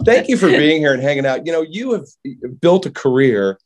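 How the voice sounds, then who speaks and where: Fast-talking upbeat male podcast host. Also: on the high side, sing-song. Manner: enthusiastic, jokey, rambling. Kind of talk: casual interview chat.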